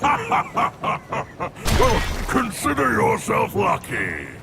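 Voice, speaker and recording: Casting: a haughty video game vanguard, swooping, drawn-out delivery over heavy noise. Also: male voice, very high-pitched, wildly swooping pitch, vocal fry, full character voice. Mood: haughty threat. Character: haughty warrior vanguard of a king. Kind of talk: video game character acting. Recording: very noisy background.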